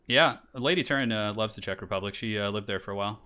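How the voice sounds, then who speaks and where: A man's voice: on the low side, fast, pushed and bright, clear, resonant tone. A man in his thirties hosting a live stream, reading donations in a chatty way with frequent laughs, then casting a strategy game match with hyped intros. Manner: chatty, reads donations aloud, laughs often. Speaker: streamer and tournament caster. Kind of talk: casual live-stream chat and game commentary.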